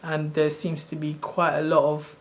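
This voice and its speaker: Soft-spoken guy in his 30s, kinda rambly, keeps correcting himself, pretty monotone, slightly high voice. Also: moderate pace. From Sri Lanka. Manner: rambling, loose and self-correcting. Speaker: channel host. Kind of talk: casual screen-share walkthrough.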